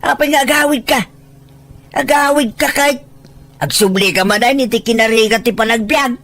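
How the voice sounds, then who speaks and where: A high-pitched Filipino-accented older parent acted by a woman in an audio drama. The figure is boastful and money-minded, pressing others with exclamations and pointed questions. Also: moderate pace, fairly bright tone. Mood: indignant, scolding. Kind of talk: Ilocano audio drama acting.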